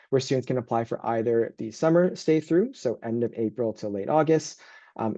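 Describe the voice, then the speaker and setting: Mellow-voiced man presenting an online webinar. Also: medium pitch, no room sound, muffled recording. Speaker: university admissions advisor hosting a webinar. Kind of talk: informal presentation with slides.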